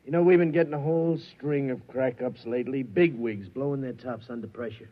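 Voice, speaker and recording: An actor playing a brusque, skeptical American police sergeant in a muffled 1950s radio drama. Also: male voice, medium pitch, brisk, lightly acted. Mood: chatty, confiding. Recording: muffled 1950s radio recording, music behind.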